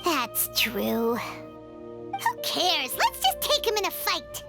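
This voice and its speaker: A woman's voice: wildly swooping pitch, takes it slowly, vocal fry, loud music behind the voice, heavily acted. A woman voicing a tiny, chirpy, feisty fairy companion in a video game. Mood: eager, scheming. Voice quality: squeaky, childlike. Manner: speaks of herself in third person, exclamatory.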